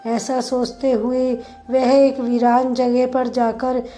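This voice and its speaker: A woman narrating a scripted moral story in a measured, instructive way. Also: sits high, little pitch movement, dark tone.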